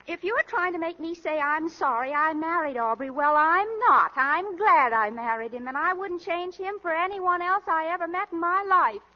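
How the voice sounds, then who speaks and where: An actress playing a loyal, defensive young married daughter, plaintive and indignant, in a 1930s radio drama. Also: female voice, very high voice, moderate pace, few pauses. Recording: dull old 1930s radio recording.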